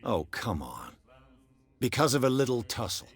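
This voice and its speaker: An actor playing a terse, gruff private investigator who questions people bluntly in a video game, with a husky voice. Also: male voice, mid-range voice, big pitch swings, moderate pace. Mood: terse, probing. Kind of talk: video game cutscene dialogue.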